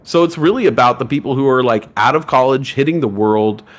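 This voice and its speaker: A man in his forties co-hosting a casual livestream chat, lively and acting out voices of people he quotes. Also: brisk. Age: 40s. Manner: animated, acts out quoted voices with big pitch jumps. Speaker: livestream co-host.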